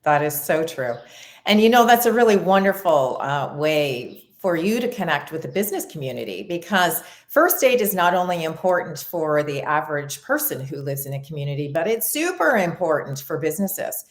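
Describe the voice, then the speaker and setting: Lively low-voiced woman host, echoey. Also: normal speed. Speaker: show host. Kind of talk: hosted interview.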